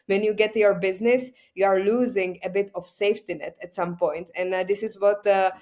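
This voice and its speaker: A woman with a Czech accent, hosting an interview, speaking English as a second language with many "uh" pauses while searching for words. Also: mid-range voice, steady tone, a little mellow. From Czech Republic. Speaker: podcast host. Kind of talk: casual interview chat.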